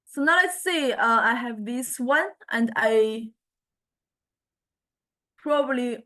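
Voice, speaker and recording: A woman teaches in an informal, unscripted way, walking through a software demo. Her voice sits fairly high and she takes her time. The phrasing is halting and hesitant, with frequent little check-ins. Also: expressive. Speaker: lecturer.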